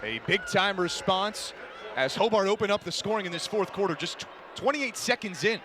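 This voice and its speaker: A man with a high-pitched voice works as a radio play-by-play commentator at a live game. He describes the action as it runs, with energy, while a clear crowd and game noise sit behind him. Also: animated, moderate pace. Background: crowd and ambient game noise.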